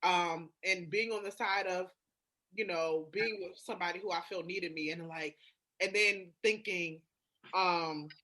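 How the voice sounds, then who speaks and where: A woman's voice: fairly low pitch, fairly even intonation, a little slow, pushed and bright. A woman co-hosting a podcast in candid, casual chat, speaking emphatically and repeating phrases to drive a point home. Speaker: podcast co-host.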